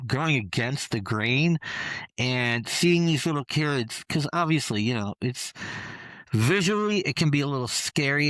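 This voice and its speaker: A man's voice: mid-range voice, dry recording. Casual male interviewee, loose rambling delivery, dead dry recording. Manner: relaxed, rambling, frequent fillers and restarts. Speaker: interview guest, costumed performer.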